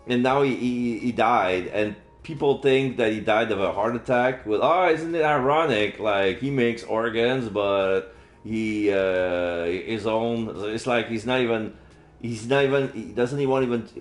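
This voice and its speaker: A man livestreaming a visual novel, reading the text aloud casually with jokey, muttered asides. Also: lowish voice. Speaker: streamer playing a visual novel. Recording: roomy sound, faint game music. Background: faint game music.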